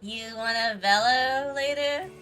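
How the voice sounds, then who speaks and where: Female game streamer, bright voice, casual and rambling, with hesitant delivery over faint music. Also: on the high side, slow pace. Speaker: livestreamer.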